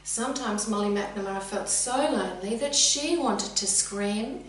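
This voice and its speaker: A middle-aged woman reading a children's story expressively and deliberately, addressing young listeners directly. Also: medium pitch. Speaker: teacher reading a picture book. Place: indoors, sitting room with bookcase. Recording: very echoey room, distant mic, faint hum.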